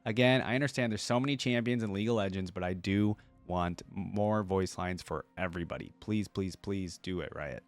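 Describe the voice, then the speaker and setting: A man's voice: low voice, fairly quick, few pauses, faint music behind. A man talking casually to his viewers as a video host, rambling along with informal fan enthusiasm.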